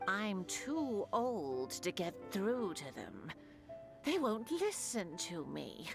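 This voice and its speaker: A kindly old village granny from a fantasy video game. She talks slowly and wearily, with lively swings of pitch over game music. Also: gravelly, exaggerated voice. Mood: weary, pleading. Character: kindly elderly village granny, weary of squabbles. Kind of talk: video game character dialogue.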